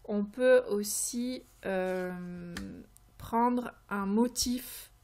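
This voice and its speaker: Woman doing a casual craft vlog, medium pitch, kinda hesitant, feels her way thru sentences. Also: darkish tone. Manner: hesitant, halting, searching for words. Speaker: creativity vlogger presenting tarot card ideas. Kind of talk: informal tutorial talk, unscripted.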